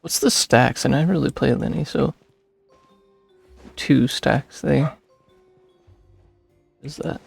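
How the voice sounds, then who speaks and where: A man does casual, rambling gameplay commentary in a husky voice full of vocal fry. He mutters his thoughts aloud and pokes fun at himself. Faint game music plays behind him. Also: medium pitch, slow pace, long pauses, no echo. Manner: rambling, thinking aloud, self-deprecating. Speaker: streamer playing a video game.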